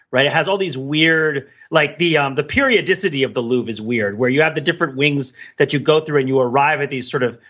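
A man's voice: a little high, a bit bright, dull audio. An American man co-hosting a podcast, talking casually in a discursive, analytical way and telling personal anecdotes. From New Jersey, United States. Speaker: podcast co-host.

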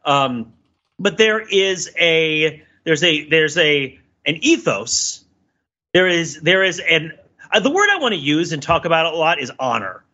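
Male podcast co-host, higher-pitched and very bright, halting analytical chat, slightly dull lo-fi recording. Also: sing-song. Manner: halting, thinking aloud, repeats "right?" as a tag. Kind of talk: casual analytical podcast discussion.

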